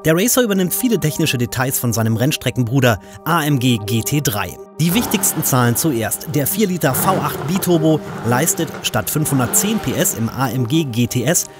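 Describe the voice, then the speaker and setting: A man with a German accent reading a scripted, brisk and technical car-review voice-over. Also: medium pitch, animated. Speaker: car magazine narrator. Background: music.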